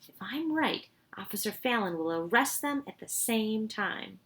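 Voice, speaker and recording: A woman voicing a confident, clever young girl in a children's storybook, brisk and decisive. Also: on the high side, expressive, a bit bright, pitched above the performer's own voice. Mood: determined. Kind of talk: children's storybook read-aloud.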